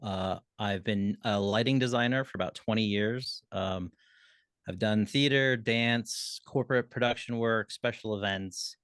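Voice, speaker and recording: A man's voice: fairly low pitch, a little slow, fairly bright tone, smooth, no room sound, clean studio sound. A middle-aged man casually introducing himself as a panelist on a video call. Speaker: panelist, lighting designer.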